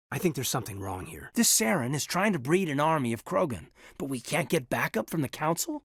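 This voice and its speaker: Husky, earnest space soldier. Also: male voice, fairly high pitch, no room sound, clean studio sound. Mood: frustrated, earnest. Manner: sincere, measured, respectful. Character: earnest, thoughtful male military officer in space. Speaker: video game character, soldier. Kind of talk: video game voice acting, conversational dialogue.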